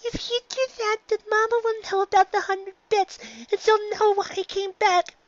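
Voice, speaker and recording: A woman voicing an earnest, defiant little girl pony in a storybook reading, with a squeaky childlike voice and a pleading, stammering manner. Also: little pitch movement, moderate pace, dull audio. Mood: tearful pleading.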